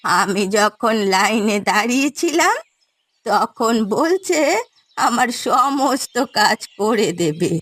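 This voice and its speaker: A woman's voice: on the high side, expressive, slow, fairly bright tone, no room sound, heavily acted. A woman voicing a feisty, playful old village grandmother in a cartoon, chatty and theatrical in her talk. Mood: indignant, boastful. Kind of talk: cartoon story dialogue.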